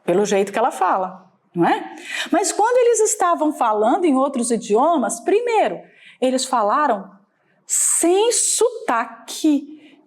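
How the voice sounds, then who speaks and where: Brazilian lady from minas preaching, super high pitched, bouncy intonation, keeps going "né?". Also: very animated, measured pace. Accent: Brazilian Portuguese, Minas Gerais. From Brazil, Minas Gerais. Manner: conversational, asks the listeners for agreement. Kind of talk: sermon teaching.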